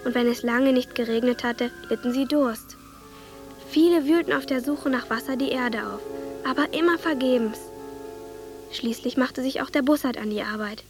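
Radio play lead: caring young village girl, high pitch, patient and coaxing, music behind her. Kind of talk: German radio drama acting. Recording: studio radio drama with music.

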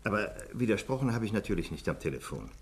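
A senior police chief with a deep, slightly rough voice. His intonation moves widely as he talks with courteous authority, in the manner of a 1950s radio play. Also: big pitch swings, fast talker, exaggerated voice. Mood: musing, assured. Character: senior London police chief, courteous, self-assured gentleman.

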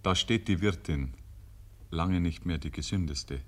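Very deep-voiced male radio narrator. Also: a bit bright. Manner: measured, storytelling. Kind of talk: literary scripted narration, 1950s radio.

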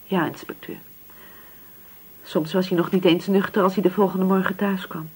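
Poor grieving widow, husky voice, anxious, hesitant, submissive, radio play. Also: female voice, on the low side, fairly even intonation, normal speed, darkish tone. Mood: subdued, sad. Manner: hesitant, repeating words, submissive. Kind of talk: radio drama acting.

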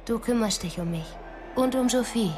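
An actress playing a fragile, pregnant teenage girl in a psychiatric clinic, speaking softly and often in a whisper, in a radio play. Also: on the high side, moderate pace, slight background noise, natural voice. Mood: hopeful.

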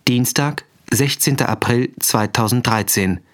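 A man's voice: medium pitch, unhurried. A man announcing scripted scene headings in a radio drama.

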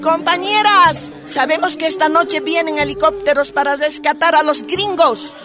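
An actress playing a fiery, impassioned women's activist, declaiming dramatically in a radio drama. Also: female voice, high voice, normal speed, music in the background, a crowd in the background, close to an everyday voice. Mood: alarmed, impassioned.

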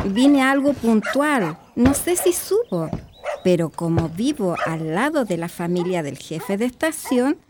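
A woman's voice: medium pitch, sing-song, measured pace. An actress with a Chilean accent playing a gossipy neighbour who insists she is no busybody, in a radio drama. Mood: confiding. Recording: noisy recording with clicks.

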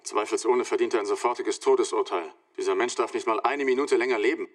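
A man's voice: medium pitch, fairly even intonation, quick pace, close to an everyday voice. An actor playing a vehement man in a street interview, speaking in a clipped manner.

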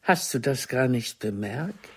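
A proud, ageing theatre diva in a radio drama. Her husky voice swings widely in melody, theatrical and cutting, as she reproaches a former lover with formal, wounded dignity. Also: extremely low pitch, lively intonation, unhurried, a little mellow, full character voice. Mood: wounded, impassioned. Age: older. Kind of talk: German radio play dialogue.

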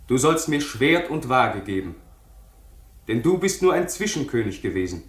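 An actor in a German radio play, playing a proud, idealistic young king who proclaims solemnly. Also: medium pitch. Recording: echoey room, faint music behind.

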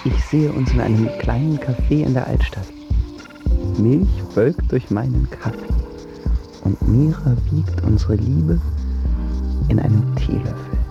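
An actor playing a moody, lovestruck young would-be writer, narrating in youthful, sometimes poetic words in a radio drama. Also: male voice, mid-range voice, a little slow, vocal fry. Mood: dreamy. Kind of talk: scripted first-person narration in a German radio drama. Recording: slightly dull sound with music underneath. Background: prominent music.

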